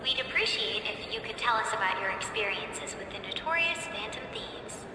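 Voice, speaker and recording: A woman's voice: mid-range voice, animated, moderate pace, background noise, lightly acted. A woman playing an earnest, incisive young student interviewer in a game, formal, probing and insistent. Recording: echoey hall reverb with music behind.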